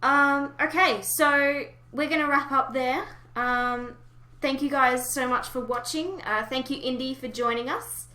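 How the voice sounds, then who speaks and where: A woman's voice: high voice, fairly even intonation, unhurried, sharp tone. A woman hosting a podcast in a casual, chatty style. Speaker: podcast host. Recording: roomy, echoey home recording.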